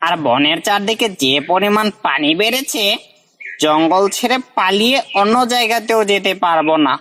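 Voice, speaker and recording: Bright-voiced scheming little fox, acted by a woman. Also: mid-range voice, animated, normal speed, lightly acted. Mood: gloomy self-pity. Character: small, hungry, cunning underdog fox, servile yet resentful. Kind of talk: scripted cartoon dialogue.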